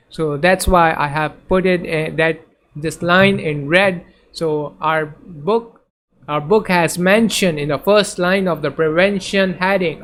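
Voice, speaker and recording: A man's voice: high voice, fairly bright tone, a little hiss or hum. A man whose first language is Urdu, teaching in a casual lecture over slides, hesitant, with many fillers and restarts. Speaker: tutor.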